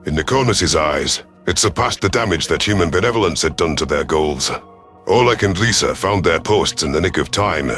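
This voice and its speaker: A man performs a proud reptilian alien commander narrating a space war story. The voice is low and stern, with formal phrasing and a gruff temper. Music sits behind it. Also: fairly bright tone. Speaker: narrator in character. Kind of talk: first-person scripted narration.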